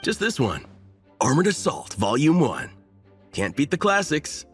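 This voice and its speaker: An actor playing an earnest, geeky young book fan in an anime game, explaining things eagerly in a husky voice. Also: male voice, fairly high pitch, big pitch swings, a little slow, a bit bright, soft background music. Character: earnest, bookish young man, an enthusiastic adventure-novel fan.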